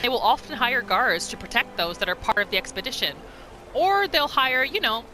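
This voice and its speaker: A woman's voice: on the high side, lively intonation, moderate pace, pushed and bright, soft background music. A woman giving casual, storytelling commentary as she narrates a roleplay video game. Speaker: gamer narrating a roleplay series.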